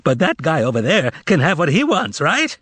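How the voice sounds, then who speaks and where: A man's voice: medium pitch, huge pitch swings, a little slow, no echo. A man voicing a theatrical male trickster and former choirmaster, with a thin, tremulous voice and a wailing, sarcastic harangue. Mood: indignant.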